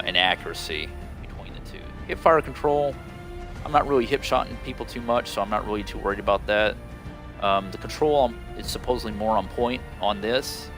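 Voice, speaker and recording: A man with a slightly stuffy, nasal voice from a cold, casually commenting on gameplay in a rambling, unhurried way with many ums. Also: lowish voice. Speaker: gaming video host.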